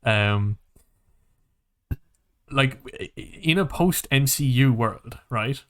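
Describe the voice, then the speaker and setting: Rambling, sweary man chatting. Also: medium pitch. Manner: animated, sweary; rambling, halting. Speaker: podcast co-host. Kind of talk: casual film and game chat.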